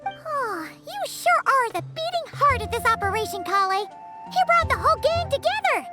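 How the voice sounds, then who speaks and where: Tiny squeaky excitable fairy girl. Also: moderate pace, faint music behind, full character voice. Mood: cheerful. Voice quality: squeaky, childlike. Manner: bubbly, exclamatory. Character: tiny, excitable, cheerful female fairy companion. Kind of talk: video game character dialogue.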